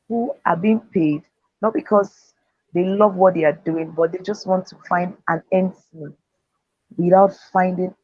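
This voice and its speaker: A woman's voice: fairly low pitch, darkish tone, breathy edge, dry recording. A woman speaker and author giving earnest, faith-driven motivational answers in an interview. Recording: dull, dry lo-fi sound.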